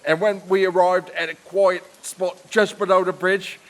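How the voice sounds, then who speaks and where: A man playing a rough, defiant servant and criminal accomplice in audio theatre, talking bluntly. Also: high voice, flat delivery, normal speed. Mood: defiant confessing.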